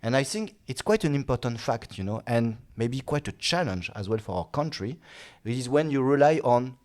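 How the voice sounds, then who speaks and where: A man, a guest expert in an interview, speaking haltingly with many "uh"s and restarts. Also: mid-range voice, expressive, a little mellow. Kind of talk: casual interview talk.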